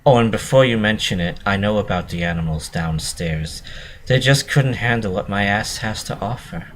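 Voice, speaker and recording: A man's voice: lowish voice, animated, moderate pace, slight background noise, close to an everyday voice. A man voicing a timid, introverted young female pegasus pony, sheepish but prickly, in a story reading. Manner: sheepish, prickly when challenged.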